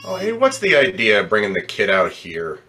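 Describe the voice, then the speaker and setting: A man's voice: on the low side, wildly swooping pitch, brisk, pushed and bright, full character voice. An actor playing a brusque, impatient, slangy ambulance man in an old-time radio drama. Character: gruff, impatient, no-nonsense male ambulance man.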